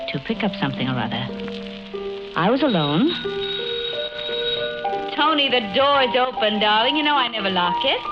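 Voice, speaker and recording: An actress playing a genteel, coolly murderous wife in a 1940s radio horror play, speaking with measured, wistful deliberation on a muffled old recording with music behind. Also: female voice, lowish voice. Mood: wistful, composed, then fond, light. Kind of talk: 1940s radio acting, first-person horror narration.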